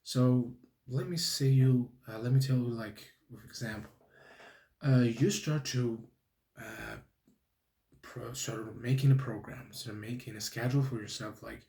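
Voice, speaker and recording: A young man hosts his own podcast in English, his mellow voice picking its way through sentences with many restarts. He checks in often with a quick "okay?". The sound carries a noticeable room echo. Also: mid-range voice. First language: Persian. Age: 20s. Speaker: podcast host. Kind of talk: casual solo podcast monologue.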